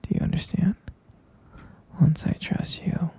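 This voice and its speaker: A man's voice: a little slow, lightly acted. Whispering, obsessive male yandere captor played by a man. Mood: controlling, coaxing. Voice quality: breathy, husky whisper with gravelly creak. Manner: cooing, coaxing, repetitive endearments with soft menace. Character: obsessive possessive male yandere captor, tenderly menacing, lovestruck. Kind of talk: boyfriend ASMR roleplay.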